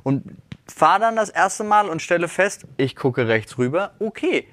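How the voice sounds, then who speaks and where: A man's voice: high-pitched, animated, a little slow, fairly bright tone, dry recording. A youngish to middle-aged man chatting casually as a podcast co-host, thinking aloud in loose, colloquial digressions. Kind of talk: casual chat between friends. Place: dry, close-miked recording.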